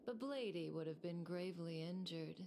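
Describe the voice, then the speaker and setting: Woman voicing a low, composed operative. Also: dark tone, slight background noise, lightly acted. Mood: calm, controlled. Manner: measured, poised. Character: composed, calculating woman operative, quietly in command. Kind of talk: video game drama.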